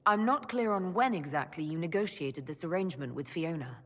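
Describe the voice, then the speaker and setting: An actress playing a composed heroine in a video game, speaking in a direct, questioning way. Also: female voice, low-pitched, lively intonation, brisk. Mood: probing, calm. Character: composed, direct young heroine leading negotiations. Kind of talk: video game cutscene acting. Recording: reverberant hall sound.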